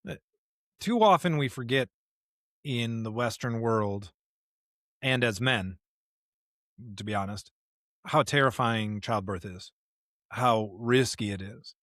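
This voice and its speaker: A man's voice: mid-range voice, slow pace, pauses often, a bit bright, dry recording, studio quality. A man co-hosting a podcast, reflecting on faith conversationally in an earnest, emphatic way, with rhetorical questions. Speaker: podcast co-host. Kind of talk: conversational, reflective religious discussion.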